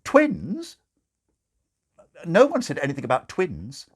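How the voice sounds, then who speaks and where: Man playing a flustered new father, pitched up. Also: huge pitch swings, husky, heavily acted. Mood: startled. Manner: halting, stammering. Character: flustered, mildly puzzled, doubtful new father.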